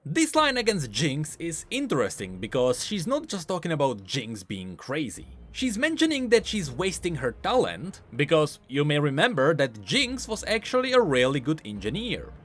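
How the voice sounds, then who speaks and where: Animated man explaining game lore. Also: a little high, moderate pace, hardly any pauses, soft background music. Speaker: video game lore commentator. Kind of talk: scripted explanatory commentary.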